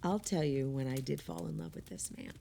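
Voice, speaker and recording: A woman's voice: deep voice, a little fast, vocal fry, warm tone. A woman acting a wry, affectionate, sentimental friend in a natural radio play style. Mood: fond, teasing. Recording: faint background noise.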